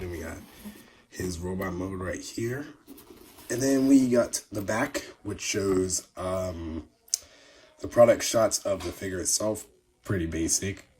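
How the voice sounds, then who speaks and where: A man casually reviewing a toy, his voice slightly hoarse after being sick, rambling with many fillers and restarts. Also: low voice, slight background noise. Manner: relaxed, rambling, frequent filler words and restarts.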